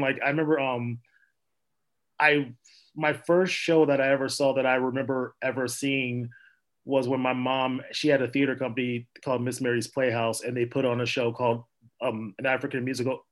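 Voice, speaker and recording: A man's voice: medium pitch, fairly bright tone. A young man from Minnesota, an actor and playwright, chatting as a podcast guest in a rambling way with many fillers and laughs. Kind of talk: casual interview chat.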